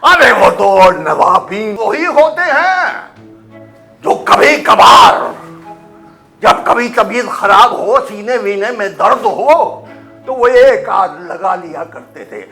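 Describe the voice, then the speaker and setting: A man's voice: high voice, animated, takes it slowly, gravelly, bright, forceful tone, faint music behind, exaggerated voice. An actor playing a blustering, teasing old grandfather, a pompous nawab who halts and repeats himself, in a family comedy. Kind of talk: theatrical family comedy acting.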